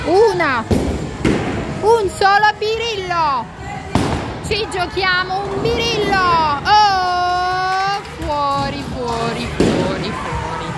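A woman's voice: very high-pitched, animated, extremely slow. A woman filming a family game on her phone, cheering and giving a playful running commentary as she announces the scores. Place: busy indoor bowling alley. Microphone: handheld phone camera. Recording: loud crowd and music behind.

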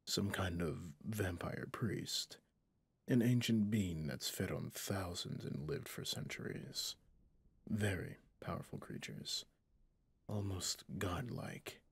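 A man playing a stern, haunted, centuries-old vampire hunter in an ASMR roleplay, speaking with slow menace in a breathy, gravelly voice that often drops to a whisper. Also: low-pitched, no echo, heavily acted. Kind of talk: ASMR roleplay monologue to the listener.

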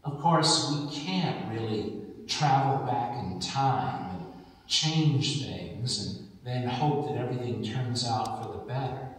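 Slow-speaking American pastor in an echoing church. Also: male voice, medium pitch, fairly bright tone. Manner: measured, deliberate phrasing with pauses. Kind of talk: sermon. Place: large church sanctuary, indoors at the altar. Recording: echoey church hall, distant microphone.

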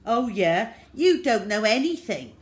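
A woman's voice: fairly low pitch, lively intonation, slow pace. A woman voicing a horrid young boy who is scared yet defiant and scornful, in a children's story. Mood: taunting. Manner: pleading, boastful, bratty.

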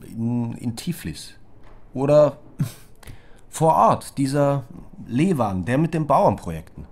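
A man's voice: mid-range voice, expressive, slow, a little mellow, husky, full character voice. A man acting as a suspicious, inquisitive investigator in a crime audio drama.

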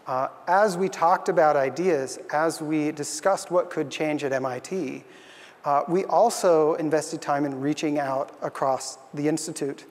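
A man's voice: a little high, fairly even intonation, a little slow, long reverb tail. A young man giving an earnest, thoughtful conference talk on a stage, with frequent fillers. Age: young adult. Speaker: conference speaker. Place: stage in a large hall.

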